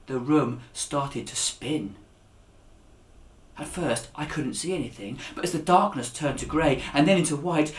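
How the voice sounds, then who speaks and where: A man reading a children's story aloud as its author, with a dramatic, expressive delivery that rises and drops to a hush. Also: a little high. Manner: dramatic, with raised voices for dialogue and hushed endings. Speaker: author reading his own children's book. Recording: echoey room.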